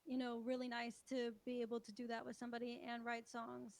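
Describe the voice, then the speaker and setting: A woman's voice: on the high side, completely flat delivery, a little fast, creaky. A young woman from Toronto speaking casually as a musician guest in an interview. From Toronto, Canada. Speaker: interview guest, country singer-songwriter.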